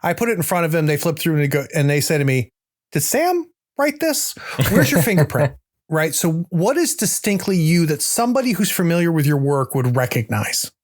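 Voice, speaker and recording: Lively man hosting a podcast. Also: a little high, a little fast. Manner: short encouraging interjections, lively questions. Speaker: podcast host. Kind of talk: casual interview conversation.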